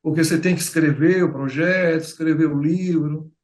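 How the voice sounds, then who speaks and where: A middle-aged man with a Bahian accent chats as a guest on an interview show. His voice sits fairly high, and he talks in a loose way, full of fillers and repeated words. The room around him sounds echoey. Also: fairly even intonation, moderate pace, a little mellow. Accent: Bahian Portuguese. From Bahia, Brazil. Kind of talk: casual interview chat.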